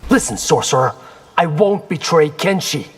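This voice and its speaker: An actor playing a cocky, determined young warrior in a video game, casual and wry in delivery. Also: male voice, on the high side, animated, normal speed, husky. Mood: defiant. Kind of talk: video game character dialogue.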